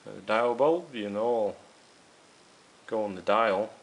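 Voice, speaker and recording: A man's voice: fairly low pitch, a little slow, low-quality recording. A man hosting a hands-on repair video, talking casually and explaining each step directly to his viewers. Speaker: host of a radio restoration series.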